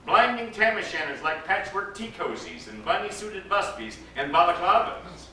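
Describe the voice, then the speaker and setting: A man, an actor, giving an expressive, dramatic reading of a literary story aloud. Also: high voice, normal speed. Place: indoor live reading to a gathering. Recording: echoey room, distant mic, background noise.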